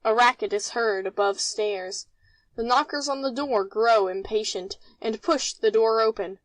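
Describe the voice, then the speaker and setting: Female narrator for play stage directions, fairly high voice, very dry close sound. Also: measured pace, no room sound, poor audio.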